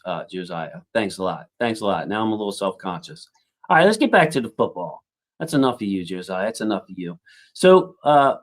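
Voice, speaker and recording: A man hosting a casual football livestream, reading out viewer comments and thinking aloud with lots of fillers, and his voice has a slightly squeaky edge. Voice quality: self-described squeaky voice. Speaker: football channel host reading live chat.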